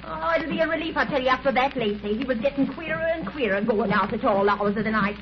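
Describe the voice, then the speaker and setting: A woman's voice: sits high, quick pace, lightly acted. An actress playing a chatty, fretful working-class landlady in a folksy, exclaiming manner, in 1930s radio drama style. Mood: chatty, deferential. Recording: noisy, muffled 1930s radio recording.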